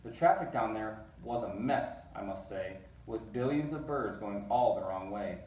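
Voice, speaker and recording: A man reading a rhyming children's story aloud in an expressive, narrating way. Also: lowish voice, moderate pace, a little hiss or hum. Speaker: storybook reader. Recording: roomy, distant, dull sound.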